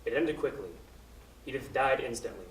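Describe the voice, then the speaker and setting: A man's voice: medium pitch, moderate pace, close to an everyday voice. A man in a student radio play acting an earnest, urgent radio station host. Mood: solemn. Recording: echoey distant room, noisy ambience.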